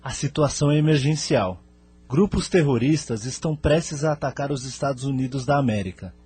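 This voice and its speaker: Husky, composed Brazilian spirit leader, played by a man. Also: medium pitch, a little fast, darkish tone, no room sound. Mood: grave, composed. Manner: formal, composed. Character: calm, authoritative male leader of a spirit colony. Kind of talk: Brazilian audio drama acting.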